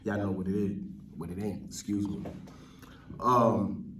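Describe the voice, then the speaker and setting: A man's voice: deep voice, steady tone, unhurried, a bit bright. A man with a New York accent giving casual, slangy street-talk commentary to his viewers, often tagging on filler catchphrases. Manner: slangy, repeats "you know what I'm saying". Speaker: vlogger host. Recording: roomy, distant mic, noisy background.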